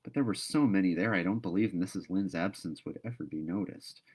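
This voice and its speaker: A man's voice: sits low, brisk, darkish tone, husky, voice pushed down. A man voicing a talkative, dreamy young girl in a storybook read-aloud, earnest and gushing. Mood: earnest, chatty.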